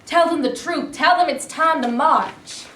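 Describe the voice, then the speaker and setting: A woman playing an impatient, militant activist in a staged reading, speaking in forceful, insistent rallying phrases. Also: high-pitched, unhurried. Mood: defiant urgency. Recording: echoey room, distant mic, faint noise.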